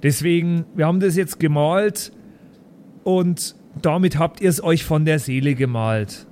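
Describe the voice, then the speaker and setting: Frazzled male schoolteacher, acted by a man for an improvised audio play: earnest, rambling, fairly high-pitched. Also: a little slow, darkish tone, slight background noise, lightly acted. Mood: earnest, weary.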